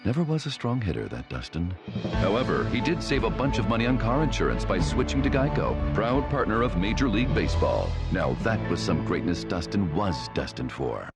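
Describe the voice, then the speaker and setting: A man voicing a radio commercial, telling a short story in a dry, deadpan way. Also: brisk. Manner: deadpan comic delivery with deep drops in pitch. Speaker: commercial voice-over. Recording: studio voice-over under loud music.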